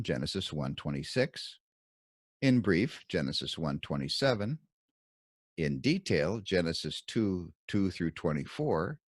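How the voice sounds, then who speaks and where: A man reading his own scripted Bible commentary as narration, in measured, deliberate short phrases. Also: low-pitched, no echo, studio quality.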